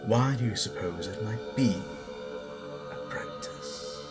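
A man playing a stern, menacing Sith master who instructs his apprentice in a deliberate, grandiose manner. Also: sits low, expressive. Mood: grave, instructive. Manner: deliberate, grandiose, pausing for weight. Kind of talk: dramatic audio roleplay monologue. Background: loud background music.

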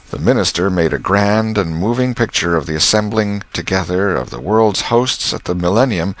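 Deep-voiced man narrating a classic novel. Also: fairly bright tone, dry recording. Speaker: audiobook narrator.

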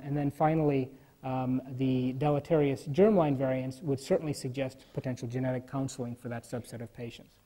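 A man delivers a keynote lecture from a lectern in a large conference hall. His voice sits a little high yet sounds mellow and warm. He speaks in a measured way with frequent fillers. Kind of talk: scientific conference talk.

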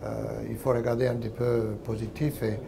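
A man in his sixties speaking non-native French with a foreign accent, giving a company update in an interview in a halting, word-searching way. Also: mid-range voice, little pitch movement. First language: not French. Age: 60s. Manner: halting, word-searching delivery with frequent hesitations. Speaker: company president and owner being interviewed. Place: small exhibition stand room at trade fair. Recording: clear background noise of trade show.

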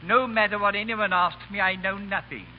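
An actor playing a formal, deadpan, deferential butler in a 1930s radio drama. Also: male voice, high-pitched, close to an everyday voice. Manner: formal, measured. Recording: muffled, hissy 1930s radio recording.

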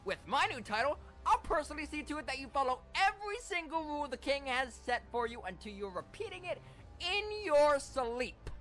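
A woman's voice: high voice, sing-song, moderate pace, fairly bright tone, faint music behind. A woman voicing a proud young male squire pony in a cartoon, with a teasing, defiant manner. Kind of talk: animated cartoon voice acting.

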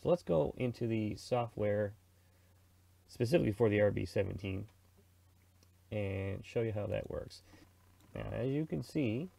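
Low, gravelly middle-aged man, casual. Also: expressive, measured pace, long pauses, dark tone. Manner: conversational, rambling, informal. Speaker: radio reviewer and presenter. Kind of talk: casual product review and how-to chat.

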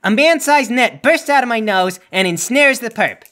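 Male game player with a varied, lively delivery, literal-minded and casual in comic banter. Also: extremely high pitch, normal speed, slight background noise.

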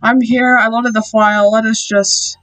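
A woman's voice: on the high side, little pitch movement, normal speed, fairly bright tone, poor audio. A young woman streaming a game, chatty and casual, swearing and laughing at her own slips.

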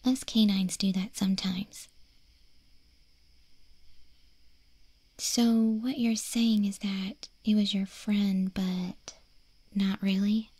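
Woman as a breathy, whispering wolf girl. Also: medium pitch, steady tone, slow, dry recording. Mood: curious. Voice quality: soft, breathy, mostly whispered. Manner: gentle, hesitant, casual. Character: friendly, curious young wolf girl, gentle and nervously giggly. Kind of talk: ASMR audio roleplay.

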